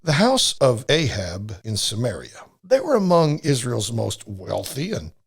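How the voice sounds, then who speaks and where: Guy doing a oily flattering prince in a bible drama, slow and drawn out, swings way up and down, talks down to people. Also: mid-range voice, vocal fry, slightly rough, heavily acted. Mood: sly, persuasive. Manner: oily, condescending, theatrical pauses. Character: sly, flattering male prince urging a king toward idols.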